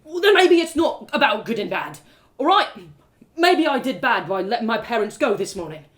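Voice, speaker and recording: A woman puts on an earnest young storybook man weighed down by guilt. He pleads in halting phrases, repeating himself, with a lively rise and fall. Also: fairly high pitch. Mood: pleading, anguished.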